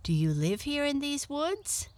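Woman voicing a polite, lively storybook cat. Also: sits high, unhurried, no room sound, pitched above the performer's own voice. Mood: polite, curious. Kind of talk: children's storybook character voice.

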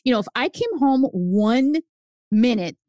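A woman in her fifties whose first language is Spanish, telling her family story as a guest author, animated and jumping up in pitch for emphasis. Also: measured pace, no room sound, studio quality. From United States. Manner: animated, swings up high for emphasis. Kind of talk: personal storytelling in an interview.

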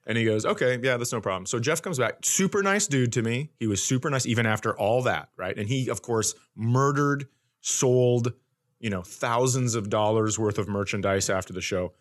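Guy comedian on a podcast, mid pitched voice, casual storytelling, kinda wry and self deprecating. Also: normal speed.

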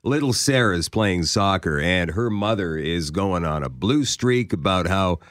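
A man's voice: deep voice, lively intonation, a little slow, a bit bright, dry recording, clean studio sound. A Canadian man hosting a casual talk show, setting up scenarios and quizzing his co-hosts. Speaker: host. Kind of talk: casual radio chat.

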